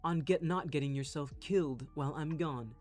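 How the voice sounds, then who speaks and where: A man's voice: fairly high pitch, moderate pace, darkish tone, faint music behind, close to an everyday voice. A man voicing a foul-mouthed, possessive young man in a dramatic audio roleplay, aggressive and commanding. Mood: angry, protective.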